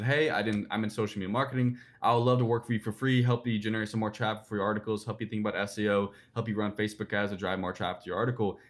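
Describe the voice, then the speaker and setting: A young man in his twenties answering interview questions about personal branding, rambling with lots of "like" and "you know". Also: fairly low pitch. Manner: fast, rambling, filler-heavy with "like" and "you know". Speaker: guest, personal branding speaker.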